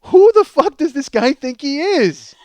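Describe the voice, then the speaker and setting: Male American comic, high voice, exasperated self-mocking storyteller, loose profane podcast monologue. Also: very animated, a little slow, a little hiss or hum. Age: middle-aged. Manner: exasperated, self-mocking, addresses listeners as folks. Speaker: comedian and podcast host. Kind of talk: ranting comedic monologue, casual and profane.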